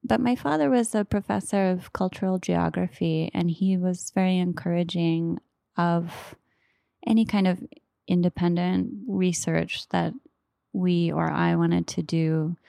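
An American woman in her thirties telling personal stories in a measured, thoughtful way, often pausing mid-phrase. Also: on the low side, fairly even intonation, creaky, dark tone, no echo, studio quality. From Oklahoma, United States. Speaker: author and literary translator. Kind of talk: reflective personal storytelling.

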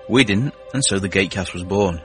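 A British man with a lowish voice delivers a scripted podcast promo. Light music runs underneath his read. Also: a little fast, a bit bright. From Britain.

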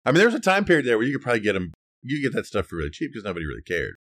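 A man's voice: medium pitch, wildly swooping pitch, racing, fairly bright tone. A man co-hosting a podcast, chatting casually and informally with wry asides. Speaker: podcast co-host. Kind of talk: casual conversational discussion.

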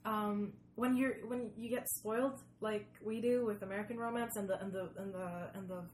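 A woman's voice: mid-range voice, steady tone, normal speed. A woman from Sweden, chatting casually as an interview guest, searching for words and repeating for emphasis. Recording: echoey room with background noise.

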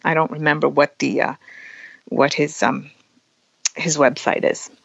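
Lowish-voiced woman, dry and slightly dull recording. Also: steady tone, a little slow, creaky, husky, dry recording. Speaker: photographer and workshop teacher, interview guest. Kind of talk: casual interview chat.

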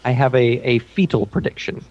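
A woman's voice: a bass voice, expressive, a little fast, muffled recording, a crowd in the background. A woman co-hosting a podcast, chatting casually about television in an exclaiming, mock-exasperated, jokey way. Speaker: podcast co-host. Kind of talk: casual TV recap chat with friends.

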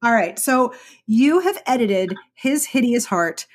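A woman's voice: a little high, very animated, unhurried. Enthusiastic woman hosting a casual interview. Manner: enthusiastic, slangy and informal. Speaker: podcast host.

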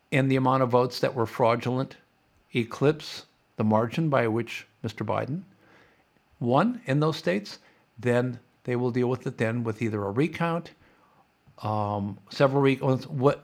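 Middle-aged guy podcasting, stuffy nose, losing his voice, gravelly and sarcastic. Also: mid-range voice, measured pace. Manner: sarcastic, mock-chanting opponents. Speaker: podcast host. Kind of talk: opinionated casual monologue.

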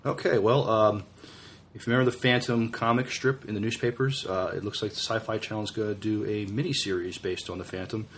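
A man co-hosting a podcast, discussing science fiction casually in a halting, tentative way with repeated words. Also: on the low side, steady tone, a bit bright, a little hiss or hum. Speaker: podcast co-host.

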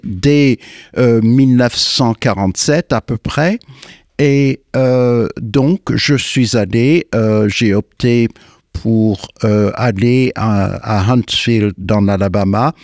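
An older American man, a singer and guest, answering in French in a slow, hesitant way with many fillers and restarts. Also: medium pitch, darkish tone, no room sound, studio quality. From United States. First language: English. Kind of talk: radio interview. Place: radio studio.

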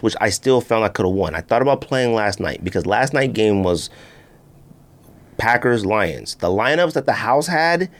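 A man hosting a podcast, doing a casual, slangy ad read with off-the-cuff hype and repeated pitches to the listener. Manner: slangy, often addressing the listener as B or fam. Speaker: podcast host.

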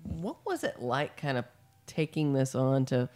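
A woman hosting a casual interview, chatty and quick to laugh. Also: rumbling low, sing-song, darkish tone. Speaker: podcast host.

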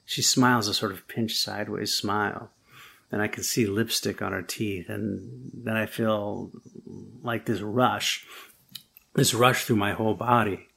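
A man with a low, gravelly voice delivers a sermon. His tone is husky and a little rough. He speaks slowly and thoughtfully, rising to firmer emphasis at the key points. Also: steady tone, fairly bright tone. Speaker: preacher. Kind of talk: sermon with first-person storytelling.

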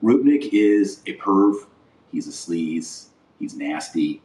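A man's voice: sits low, expressive, slow pace, mellow, slight background noise. A man hosting an informal opinion podcast, speaking in a blunt, indignant way and repeating phrases for emphasis. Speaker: podcast host. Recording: roomy echo, distant from the mic.

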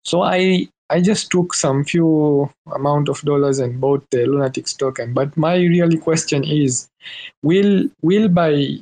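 A man's voice: fairly high pitch, a little slow, darkish tone, rough recording. A man from Tanzania with a Tanzanian accent, hesitantly asking a question in a live audio room, searching for words.

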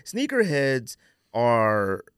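Animated American man, slangy podcast talk. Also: mid-range voice, extremely slow, clean tone, dry recording, clean studio sound. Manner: emphatic, slangy, repeats phrases for emphasis. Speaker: podcast host. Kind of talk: casual, opinionated podcast chat.

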